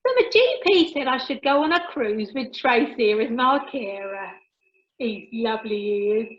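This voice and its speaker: A woman playing a brash, cheeky working-class mother, chatty and gossipy, in an amateur radio comedy. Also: sits high. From Basildon, Essex, England (character). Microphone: video call. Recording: dull, lo-fi video call.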